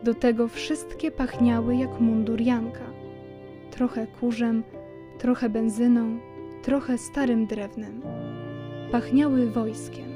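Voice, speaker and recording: A woman narrates a children's story from a script. Her voice sits on the high side, and music plays clearly behind her. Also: level tone, takes it slowly.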